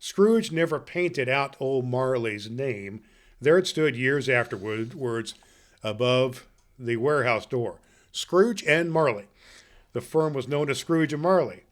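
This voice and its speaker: A man playing a jolly, grandfatherly old Santa Claus, hosting a podcast for children in a folksy, halting manner. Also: medium pitch, lightly acted. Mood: storytelling. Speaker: podcast host. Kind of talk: story reading and chat to children.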